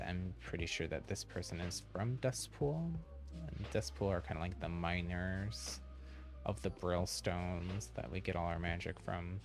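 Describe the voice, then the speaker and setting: Low-voiced, slow-talking man streaming a game. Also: vocal fry. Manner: rambling, thinking aloud. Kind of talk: casual livestream chat while playing.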